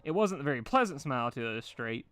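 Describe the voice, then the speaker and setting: A man's voice: medium pitch, big pitch swings, fast, fairly bright tone, smooth. A man reading fan fiction aloud as a narrator. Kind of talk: storybook-style narration.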